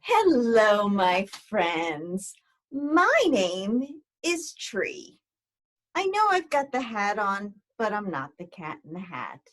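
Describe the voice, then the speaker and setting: A woman presenting a storytime for children, speaking to them directly in a deliberate, theatrical way. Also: medium pitch, big pitch swings. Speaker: guest storybook reader for children.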